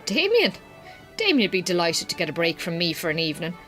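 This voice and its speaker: An actress playing an Irish farmer's wife in a radio drama, direct and wry, with an Irish accent. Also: female voice, lowish voice, huge pitch swings, fairly quick, fairly bright tone, soft background music. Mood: wry, friendly. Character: Irish farmer's wife, strained marriage, brisk but yearning. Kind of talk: naturalistic radio drama dialogue.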